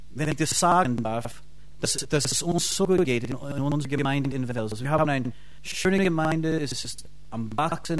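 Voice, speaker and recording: A man with an American accent, a native English speaker, preaching a sermon in a learned language, halting and restarting as he searches for words, with fervent bursts of praise. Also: medium pitch, slight background noise, poor audio. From United States. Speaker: pastor and Bible school teacher.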